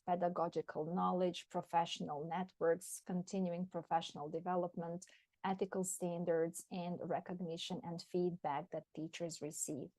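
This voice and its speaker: A woman from Serbia, an English teacher and researcher, delivering a prepared talk in a measured, list-like way with frequent ums. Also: lowish voice, warm tone, no room sound.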